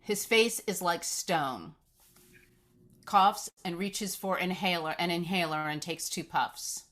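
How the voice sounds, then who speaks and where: A woman's voice: lowish voice, slow, fairly bright tone. A woman reading stage directions aloud as scripted narration at a screenplay table read.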